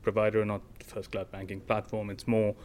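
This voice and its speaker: A man's voice: lowish voice, monotone, a little fast, noisy background. A young man, a startup founder, answering in a casual interview with long explanations full of fillers and restarts.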